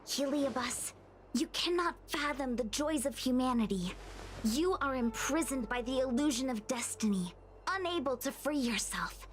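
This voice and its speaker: A woman playing a spirited, expressive young female diviner in a video game, earnest and loyal. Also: sits high, normal speed, a little hiss or hum. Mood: defiant.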